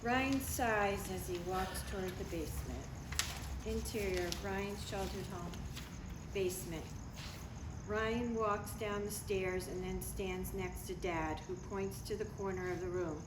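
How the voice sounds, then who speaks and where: A woman's voice: mid-range voice, a little slow. A woman reading screenplay stage directions as the narrator of a live table read. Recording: echoey room, clear background noise.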